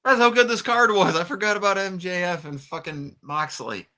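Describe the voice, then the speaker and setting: A man's voice: high-pitched, moderate pace, bright, forceful tone. A man co-hosting a podcast in casual, profane banter, throwing in crude, brash remarks. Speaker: wrestling podcast co-host.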